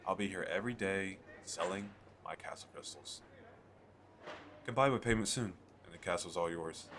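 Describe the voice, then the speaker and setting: A low, deep male fantasy shopkeeper, played by a man, talks straight to the listener in an ASMR roleplay. He is an eager, chatty salesman who coaxes and drops in hesitant asides. The recording has clear background noise. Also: bright, forceful tone. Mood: pleased, friendly. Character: eager, chatty male fantasy merchant selling magical crystal castles.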